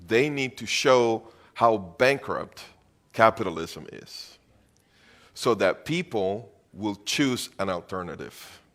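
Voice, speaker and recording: A man's voice: fairly low pitch, fairly bright tone. A middle-aged man from Venezuela preaching a sermon as pastor, earnest and emphatic, repeating phrases for weight.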